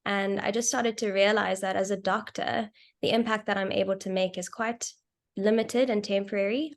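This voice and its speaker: Young South African woman, panelist; steady, earnest, monotone, a few ums. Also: medium pitch. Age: young adult. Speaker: panelist, medical doctor. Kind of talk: panel discussion.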